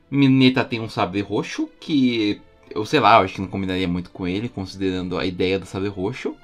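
Brazilian man, casual fan commentary over music. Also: lowish voice. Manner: enthusiastic, informal, slangy. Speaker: YouTube channel host. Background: music under the voice.

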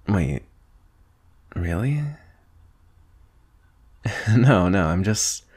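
A young man playing a shy, adoring best friend in an intimate boyfriend ASMR roleplay, speaking softly and hesitantly. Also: deep voice. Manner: hesitant, soft-spoken, breathy sighs and nervous chuckles. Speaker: audio roleplay performer.

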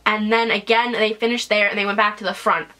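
A young woman vlogging casually from her bedroom, chatty and animated, with a congested, sniffly voice, talking to a camera in front of her. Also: medium pitch, brisk, rough recording. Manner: chatty and animated, lots of "like" and "whatever". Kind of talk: casual storytelling vlog. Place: small bedroom, indoors. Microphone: camera in front at desk distance.

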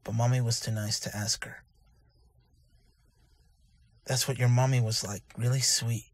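A man playing a gentle, grieving young father in an anime fan dub, speaking softly and haltingly with remorse and tenderness. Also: mid-range voice, steady tone, close to an everyday voice. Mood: gentle, reminiscing. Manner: soft, halting, emotional delivery with sighs.

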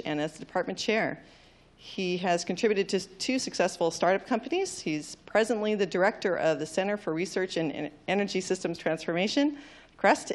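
Woman seminar host, lowish voice, reads the guest intro off notes on a handheld mic. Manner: reads from notes, apologetic, laughs at own slip. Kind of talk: reading a speaker introduction. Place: large lecture auditorium. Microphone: handheld microphone.

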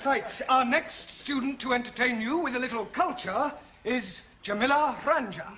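A flustered polite teacher. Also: male voice, very high voice, moderate pace, sharp tone, a faint crowd. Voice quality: polite, slightly exasperated. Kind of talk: 1970s studio sitcom acting. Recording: studio audience laughter, hiss.

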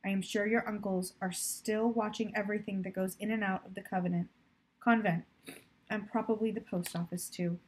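A woman voicing a caring, steady female family friend in a storybook, gently explaining and consoling. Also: mid-range voice, moderate pace, a little hiss or hum, lightly acted, poor audio. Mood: concerned, explaining.

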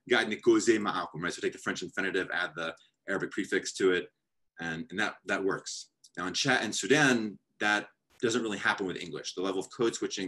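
Low-voiced man on a video call. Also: bright, forceful tone. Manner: thoughtful, reads from his draft with gestures. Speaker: panelist. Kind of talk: academic panel talk. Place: home room, indoors.

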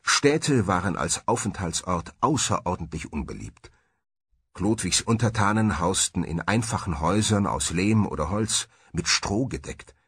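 A man reading scripted documentary narration in a measured, formal manner. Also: low voice, big pitch swings, a bit bright, slightly rough, dry recording.

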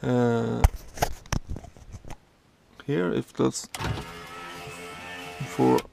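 Slow, gravelly man touring his truck cab. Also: medium pitch, music in the background. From Sweden. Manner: halting, many fillers and restarts, plain explaining. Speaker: truck driver vlogger. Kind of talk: casual cab tour walkthrough.

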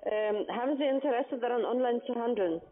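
High-voiced woman on a phone line. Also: fairly quick. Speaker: telephone sales caller. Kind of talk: phone sales pitch. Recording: phone line, narrow band.

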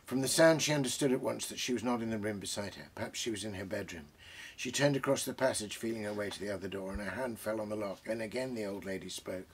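Gravelly, husky older British man. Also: fairly low pitch, fast talker. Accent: British English. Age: 60s. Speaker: host. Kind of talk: bookish commentary and reading aloud. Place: small book-crammed study, indoors.

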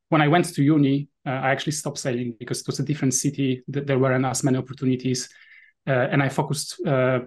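A man in his thirties from Poland with a Polish accent, a guest on a video call, explaining things thoughtfully with many fillers and restarts. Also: medium pitch. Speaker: guest, VR sailing app developer. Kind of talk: casual interview answers.

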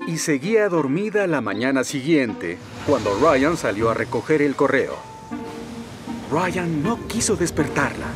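A man's voice: fairly high pitch, very animated, measured pace. A man with a Latin American Spanish accent narrating a scripted children's story. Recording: loud music behind the voice.

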